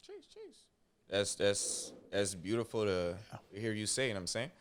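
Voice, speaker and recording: A man's voice: on the low side, wildly swooping pitch, moderate pace, smooth. A man hosting a casual podcast interview, chiming in with constant affirmations and slangy reactions. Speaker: podcast host.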